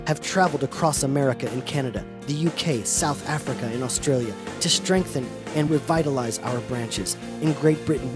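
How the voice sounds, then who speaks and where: A man reading scripted promotional narration with steady, emphatic announcer delivery. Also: fairly high pitch. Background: music bed.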